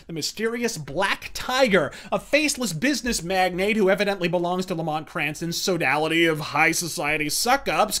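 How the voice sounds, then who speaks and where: Bombastic man reviewer, high animated voice. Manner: bombastic, profane, florid wordplay. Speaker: host of a comics and film review show. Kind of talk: scripted fast-worded review rant.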